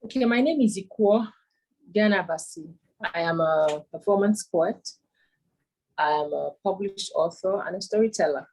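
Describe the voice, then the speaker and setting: A Nigerian woman in her thirties, a poet and author, recalling her childhood over a video call, pausing to search for words. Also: on the low side, darkish tone, low-quality recording. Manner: thoughtful, searching for words with frequent ums. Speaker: interviewee, performance poet and author. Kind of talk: remote interview, casual reminiscing.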